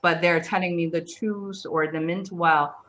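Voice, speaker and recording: A woman's voice: lowish voice, steady tone, unhurried, fairly bright tone. A woman hosting a casual interview, switching between English and Mandarin with short, attentive responses. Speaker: interviewer, host.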